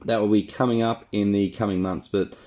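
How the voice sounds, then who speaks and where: A man's voice: fairly low pitch, steady tone, a little fast, a little mellow, no room sound. A laid-back Australian man chatting casually and slangily to viewers as he vlogs about exploring outdoors. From New South Wales, Australia. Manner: laid-back, informal, slangy, self-deprecating. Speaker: vlogger and amateur explorer of abandoned mines. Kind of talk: casual vlog chat to viewers. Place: outdoors in the bush.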